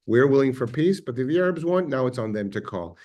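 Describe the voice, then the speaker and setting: A man with an Israeli accent giving a measured, reflective history lecture, pausing now and then with fillers. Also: medium pitch, lively intonation, darkish tone, muffled recording. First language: Hebrew.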